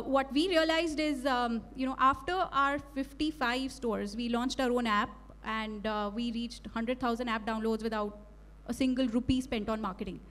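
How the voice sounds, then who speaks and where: A woman speaks on a conference panel as a startup founder. She is confident and brisk. Her voice sits slightly high. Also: a bit bright. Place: large conference hall stage. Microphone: handheld mic.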